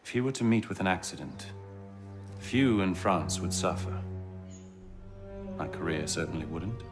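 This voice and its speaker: An actor playing a coolly ambitious schemer in a video game, speaking in a measured, insinuating way. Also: male voice, low-pitched, animated, music in the background. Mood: coolly conspiratorial. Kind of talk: dramatic video game acting.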